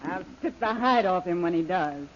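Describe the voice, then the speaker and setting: An actress playing a bossy, scheming femme fatale in an old radio serial, commanding and sly. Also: female voice, lowish voice, expressive, moderate pace. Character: bossy, scheming siren villainess, beautiful and vain. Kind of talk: 1930s radio serial acting. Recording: noisy, muffled old-time radio recording.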